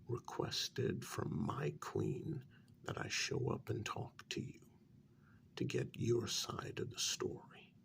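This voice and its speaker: Man playing a slow, gravelly, soft-spoken vampire mediator. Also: mid-range voice, exaggerated voice. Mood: measured, firm. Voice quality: breathy, half-whispered, gravelly. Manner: measured, halting, chiding. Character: calm, reproachful male vampire mediator addressing a prisoner. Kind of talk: soft-spoken ASMR role-play.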